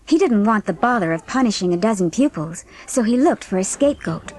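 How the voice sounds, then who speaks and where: A woman's voice: mid-range voice, expressive, fairly quick, background noise. A woman reading scripted audiobook narration of a story as its narrator.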